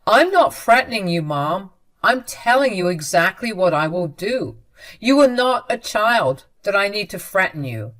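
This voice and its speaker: A woman's voice: medium pitch, very animated, measured pace, fairly bright tone. An angry grown son speaks firmly and coldly, clipped and unmoved. A woman narrator voices him in an everyday voice for a storybook. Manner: firm, clipped.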